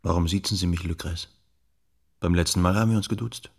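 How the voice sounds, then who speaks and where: Calm stubborn detective type, very husky low voice, radio drama. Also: male voice, a little fast, heavily acted. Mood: calm, persuasive. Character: persistent male investigator, calm and insistent. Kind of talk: German radio drama acting.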